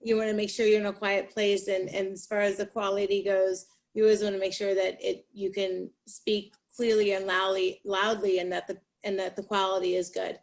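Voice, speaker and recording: A woman with a loud voice explaining her setup on a webinar video call from a room at home. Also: mid-range voice, level tone, moderate pace, hardly any pauses. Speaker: panel guest. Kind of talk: casual webinar talk.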